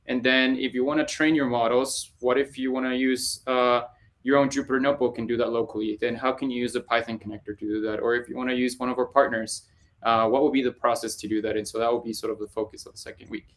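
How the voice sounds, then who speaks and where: A man's voice: mid-range voice, level tone, fast. A man from Colombia, a panel guest explaining his work in a conversational way over a video call, with frequent "uh" fillers. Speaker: panel guest, data scientist.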